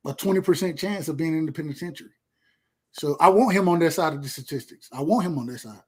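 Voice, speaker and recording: Man, 30s, Georgia Southern accent, slightly high voice, emphatic casual podcast talk, repeats himself. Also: darkish tone, slightly rough. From rural Georgia, USA. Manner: emphatic, repeats phrases, slangy and profane. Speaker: podcast speaker. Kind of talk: casual chat.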